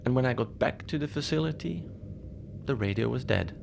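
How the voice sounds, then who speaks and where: A man playing a lonely, unbothered polar station keeper, telling strange tales in a halting, understated, conversational way for a fiction podcast. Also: mid-range voice, vocal fry. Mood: wistful, musing. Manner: halting, conversational, understated storytelling with small hesitations. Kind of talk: cozy horror audio fiction monologue. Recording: steady noise behind the voice.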